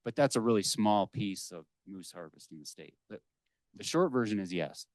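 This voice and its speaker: A man's voice: fairly low pitch, animated, normal speed, dry recording, studio quality. A man speaking as a wildlife official on a panel, explaining policy off the cuff in an assertive, emphatic way. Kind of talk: unscripted panel remarks, explanatory. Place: indoor public panel discussion at a library.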